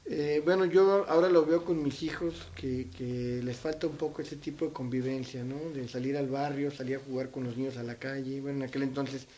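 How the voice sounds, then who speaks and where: A man's voice: mid-range voice, steady tone, fairly quick, hardly any pauses, warm tone, slight background noise. A middle-aged man with a central-northern Mexican accent, answering as an interview guest in casual conversational recollection. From Zacatecas, Mexico. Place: his own home, indoors.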